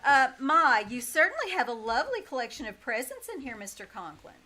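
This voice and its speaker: A woman playing a wry, quick-witted and easily flustered schoolteacher in an old-time radio comedy, with emphatic theatrical delivery. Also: high voice, very animated, bright, forceful tone, heavily acted. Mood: sly, wry. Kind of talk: old-time radio comedy acting before a live audience. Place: small-town theater stage. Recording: live stage recording with noise.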